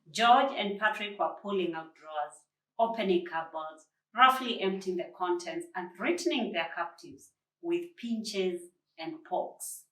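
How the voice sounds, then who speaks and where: A woman reading a children's story aloud with deliberate, dramatic phrasing and expressive stress on words. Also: mid-range voice. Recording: roomy echo, distant mic.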